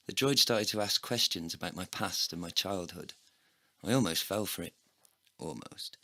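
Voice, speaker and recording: An actor playing a bitter, heartbroken, foul-mouthed man, narrating a scripted comedy audio play in a sardonic, deadpan way. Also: low voice, no room sound, clean studio sound, exaggerated voice.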